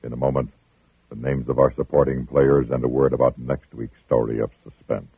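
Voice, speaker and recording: Very deep, solemn male radio announcer. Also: level tone, brisk, slightly rough, no echo. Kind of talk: 1950s radio announcing, scripted. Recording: muffled, tinny old radio with faint music.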